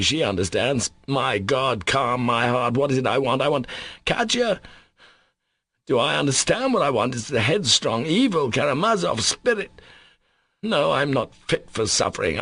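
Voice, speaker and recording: A man's voice: medium pitch, fairly bright tone, breathy edge, no echo, voice pushed up, heavily acted. A man reading aloud as an anguished, passionate young Russian man who speaks in overwrought outbursts. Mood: desperate.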